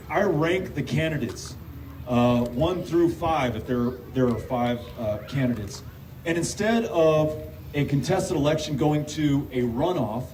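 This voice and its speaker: A man answering audience questions at a town hall, speaking off the cuff in an earnest, halting way with repeated words. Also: on the high side. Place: park pavilion, community meeting. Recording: roomy, distant live-stream sound, loud background noise.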